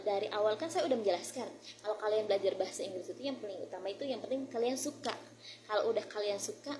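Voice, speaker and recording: A woman's voice: medium pitch, background noise. A woman with an Indonesian accent talking casually as a vlogger, informally mixing Indonesian with English phrases. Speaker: vlogger sharing English-learning tips. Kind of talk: casual vlog chat.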